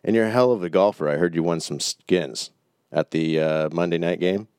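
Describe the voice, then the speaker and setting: A man hosting a podcast, relaxed and laughing as he chats with callers. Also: sits low, normal speed, clean tone, dry recording. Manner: easygoing, laughing banter. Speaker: podcast host.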